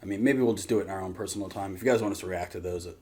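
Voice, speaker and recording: A man's voice: low voice, very fast, mellow. A man hosting a reaction video, chatting casually in a rambling, informal way.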